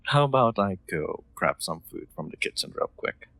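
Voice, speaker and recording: A man playing a gentle, solicitous nobleman in an intimate roleplay audio drama, speaking in formal, courteous, slightly hesitant phrases. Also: low-pitched, lively intonation, moderate pace, creaky, dry recording, full character voice. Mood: welcoming, attentive. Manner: formal, old-fashioned courteous phrasing, hesitant, soft chuckles. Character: gentle, solicitous nobleman, new master of an automaton.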